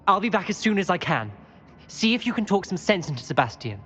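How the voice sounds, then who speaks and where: Urgent young student, lowish voice, reverberant. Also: female voice, big pitch swings, fairly quick, highs cut off. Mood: urgent, resolute. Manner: urgent, earnest. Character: anxious, urgent young student ally at wizard school. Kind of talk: dramatic video game acting.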